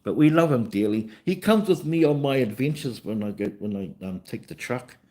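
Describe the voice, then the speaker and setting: A man's voice: mid-range voice, lively intonation, a little fast, a little mellow. A man with a New Zealand accent hosting a casual livestream chat in an easygoing, rambling way, often repeating himself. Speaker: livestream host and vlogger.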